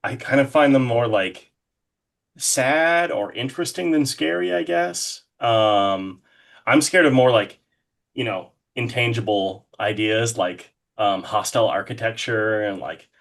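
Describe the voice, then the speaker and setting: A man's voice: mid-range voice, unhurried, gravelly, fairly bright tone. A man speaking as an interview guest in a casual, rambling, informal way with lots of hedging. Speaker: interview guest, horror artist.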